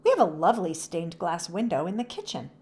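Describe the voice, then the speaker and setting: A woman's voice: fairly low pitch, huge pitch swings, a little fast, darkish tone. A woman voicing a gracious, welcoming grown-up lady in a children's storybook, polite and effusive. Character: gracious, tall, well-dressed welcoming woman.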